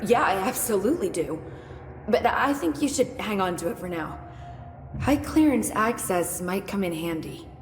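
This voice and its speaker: An actress playing a witty, curious young research scientist in a video game, explaining things in a precise, wry, chatty way. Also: female voice, medium pitch, lively intonation, moderate pace, close to an everyday voice. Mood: chatty, playful. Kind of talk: video game dialogue acting. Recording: echoey hall reverb with noisy game ambience.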